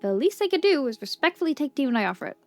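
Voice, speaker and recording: A woman's voice: sits high, sing-song, very fast, a little mellow, soft background music. A woman voicing an intense, rule-bound, easily exasperated female video game character with an emphatic, insistent manner. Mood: indignant, determined. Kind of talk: video game dialogue read aloud.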